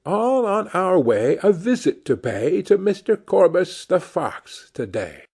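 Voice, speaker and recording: A man's voice: high voice, sing-song, unhurried, a little mellow, breathy edge, pitched above the performer's own voice, heavily acted. A man voicing a proud, bossy storybook rooster who gives commands in sing-song rhyme. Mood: jaunty, commanding. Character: proud, bossy rooster travelling in his fine carriage.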